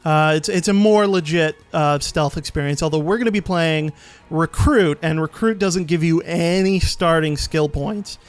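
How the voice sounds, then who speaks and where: High voiced guy doing a lets play, rambly explaining, bit of music behind him. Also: normal speed, smooth. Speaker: let's play host. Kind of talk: casual gameplay commentary. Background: game menu music.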